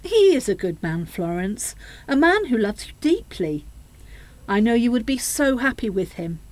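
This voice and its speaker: A woman's voice: on the high side, very animated, moderate pace, a little mellow, no echo. A woman playing a bitter, harsh older widowed mother in a radio play. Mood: pleading.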